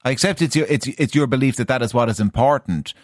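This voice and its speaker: A man hosts a radio interview in a dry, close-miked studio. He asks probing questions and keeps pressing for a clear answer. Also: mid-range voice, fast, no room sound. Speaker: radio host.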